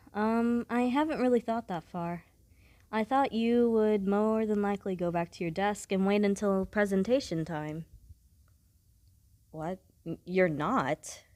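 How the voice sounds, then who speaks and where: A young woman playing a blunt, guarded, socially awkward studious schoolgirl in an audio roleplay, with a halting, matter-of-fact manner. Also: mid-range voice, a little mellow, no echo. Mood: hesitant, matter-of-fact, then puzzled, awkward. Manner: halting, hesitant, matter-of-fact, formal wording. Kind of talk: scripted audio roleplay, addressing the listener directly.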